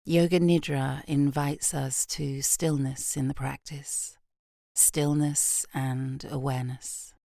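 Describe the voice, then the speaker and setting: A British woman guiding a yoga nidra meditation in a soft, gentle, unhurried voice with long pauses, breathy at phrase ends. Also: a bass voice, flat delivery, dry recording, clean studio sound. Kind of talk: guided meditation, slow and soothing.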